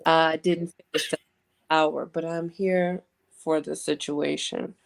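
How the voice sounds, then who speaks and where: Female panel guest, low clear voice, casual, wryly playful. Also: little pitch movement. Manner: deliberate, wry, playful. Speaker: panel guest on a book live show. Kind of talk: casual chat.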